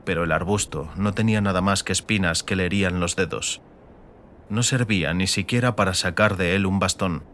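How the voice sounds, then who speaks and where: Male audiobook narrator, low pitch, dramatic fantasy storytelling, faint rumbling noise behind. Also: fairly quick, a bit bright.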